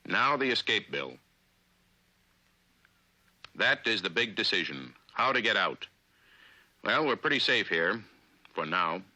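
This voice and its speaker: Forceful low-voiced American male narrator, 1950s film. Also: sing-song, no room sound, poor audio. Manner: authoritative, clipped, deliberate.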